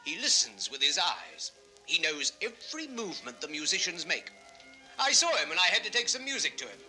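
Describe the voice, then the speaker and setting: A gossipy male townsman in an old story record. His highish voice is sharp and full of swing as he confides what he knows, with music faintly behind. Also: huge pitch swings, a little fast, full character voice, low-quality recording. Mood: knowing. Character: knowing, gossipy townsman, admirer of a composer. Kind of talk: 1960s children's record radio-play acting.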